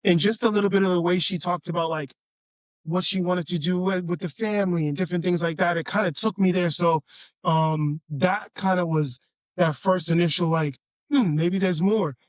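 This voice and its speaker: Man, raspy voice, high-pitched, dry close sound, laid-back storyteller, from Chicago. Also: steady tone, normal speed, dry recording. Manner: repeats phrases, laid-back and playful. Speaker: podcast guest. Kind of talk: casual storytelling chat.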